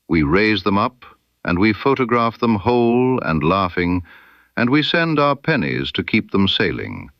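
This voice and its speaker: Slow, low-voiced male storyteller. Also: dry recording, dull audio. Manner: measured, literary storytelling. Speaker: narrator of a detective story. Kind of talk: scripted first-person narration of a period mystery story.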